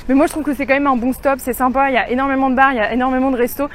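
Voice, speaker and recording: A woman's voice: sits high, fairly even intonation, very fast. Chatty young woman vlogging outdoors. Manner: chatty, many fillers and restarts. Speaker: travel vlogger. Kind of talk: casual vlog walking tour. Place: outdoors in a city street. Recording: outdoor street noise. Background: street noise and passing cars.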